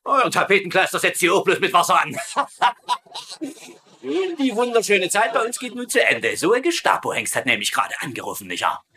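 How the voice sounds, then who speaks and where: Pompous comic commander, high swooping voice, bossy and gossipy, dubbed sitcom. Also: male voice, wildly swooping pitch, normal speed, pushed and bright, full character voice. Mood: smug, jovial. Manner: theatrical, tags sentences with a questioning phrase.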